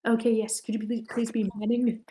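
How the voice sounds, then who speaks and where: A woman's voice: fairly high pitch, little pitch movement, fairly quick, mellow, breathy edge. A woman hosting a script table read in a casual way over an online group call.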